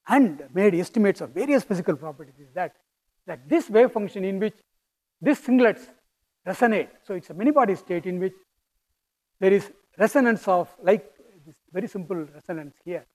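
A middle-aged man with a strong Indian accent giving a physics lecture, speaking in a halting, thoughtful way with fillers and restarts. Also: sits high, expressive, darkish tone, poor audio. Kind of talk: academic lecture.

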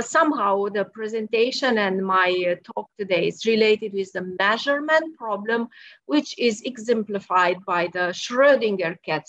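A woman's voice: a little high, fairly bright tone, dry recording. Woman lecturing over a call. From Romania. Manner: friendly, explanatory, wry asides. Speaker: experimental physicist giving a guest talk. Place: her office at work, in the evening. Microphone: video call. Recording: dull lo-fi video call audio.

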